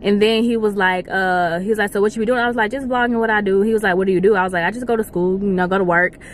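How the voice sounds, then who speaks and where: A woman's voice: medium pitch, very fast, a little hiss or hum. A woman, chatting and telling a story in a parked car, talking to a dashboard camera. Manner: animated storytelling, talks with her hands. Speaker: vlogger. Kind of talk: casual car chat.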